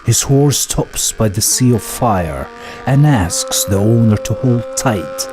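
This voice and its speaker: A man narrating a folk epic as scripted storytelling, in a measured, solemn manner. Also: medium pitch, lively intonation. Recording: voice-over with loud music behind. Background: loud music.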